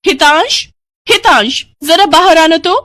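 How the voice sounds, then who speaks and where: A woman's voice: very high-pitched, unhurried, pushed and bright, no room sound, pitched above the performer's own voice, full character voice. A woman voicing an arrogant, defiant young modern bride with a sassy manner in a family cartoon. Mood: haughty, demanding. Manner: haughty, sassy. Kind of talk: Hindi family cartoon dialogue.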